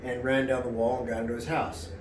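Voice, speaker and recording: A man's voice: medium pitch, level tone, fast talker, roomy sound. A middle-aged man talks through a problem at a whiteboard in casual, plain-spoken fashion, with plenty of fillers along the way. He is caught by a camera set a few steps back, so his voice sounds distant and bounces around the small room, with a faint hum underneath. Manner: plain-spoken, many fillers and "right?" checks. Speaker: real estate agent. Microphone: camera a few steps away.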